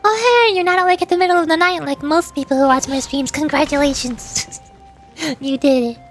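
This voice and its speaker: A woman's voice: high voice, brisk, soft background music. A woman livestreamer chatting casually with viewers while gaming, in a playful, rambling way. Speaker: livestreamer (VTuber) playing and voicing a game.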